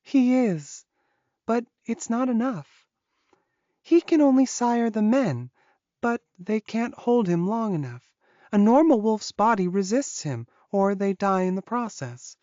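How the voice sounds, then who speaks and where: A woman's voice: a little high, expressive, a little slow, mellow, no room sound, highs cut off. A woman voicing a loyal, resigned witch guarding a captive in an audiobook, matter-of-fact as she explains. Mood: explaining, matter-of-fact.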